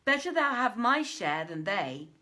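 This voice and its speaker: A woman's voice: a little high, sing-song, a little slow, pushed and bright, heavily acted. A woman voicing a brazen swindler who pleads and declaims in a storybook reading. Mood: loud complaint. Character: brazen swindler posing as a blind man, complaining loudly.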